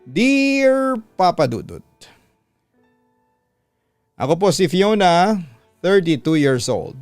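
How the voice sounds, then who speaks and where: Male Filipino radio narrator for dramatic letter readings; clean, resonant voice, close and dry over soft music. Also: high-pitched, sing-song, slow, clean tone, no echo. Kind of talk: dramatic scripted story narration. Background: background music.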